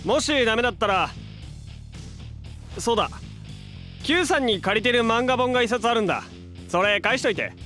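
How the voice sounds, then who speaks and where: An actor playing a young, loyal, quick-witted hero in a Japanese audio drama, with casual, punchy, confident delivery. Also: male voice, very high voice, very animated, bright, forceful tone, faint music behind, heavily acted. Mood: confident, reassuring.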